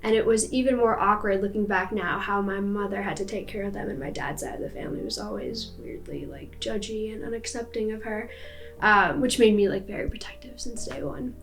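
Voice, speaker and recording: A woman's voice: medium pitch, a little fast, fairly bright tone, faint music behind. A young woman with an American accent telling a personal story in a chatty, casual way, with many fillers. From United States.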